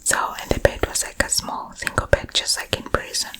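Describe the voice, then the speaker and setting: A woman's voice: normal speed, bright, forceful tone. A woman from Uganda telling personal stories in a conversational, rambling ASMR style. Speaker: storyteller recalling her own school days. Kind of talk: whispered ASMR storytime.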